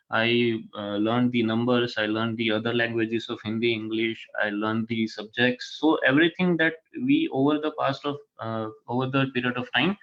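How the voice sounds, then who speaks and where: Gujarati Indian man lecturing, dull audio. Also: medium pitch. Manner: halting, often checks with "right?" and "fine". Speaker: guest speaker, corporate HR manager. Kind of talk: informal lecture to students. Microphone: online video call. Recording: dull lo-fi online call audio.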